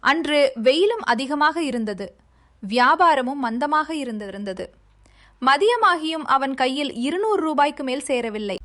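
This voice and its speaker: A woman narrator reading a scripted moral story for a cartoon. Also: high voice.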